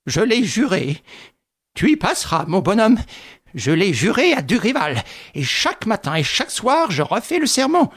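A man doing a shrill vengeful widow. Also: lively intonation, a little slow. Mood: fervent. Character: furious vengeful middle-aged widow, half mad.

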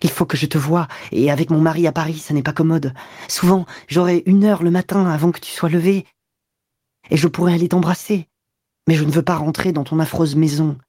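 A passionate young mistress in an audiobook, as a man reader lifts his voice for her. She speaks in a breathless, pleading rush, with a husky, dark tone. The recording is dry and close. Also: fairly high pitch, steady tone, fairly quick, no echo, pitched above the performer's own voice, natural voice. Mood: tender. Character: young woman, passionate and possessive lover.

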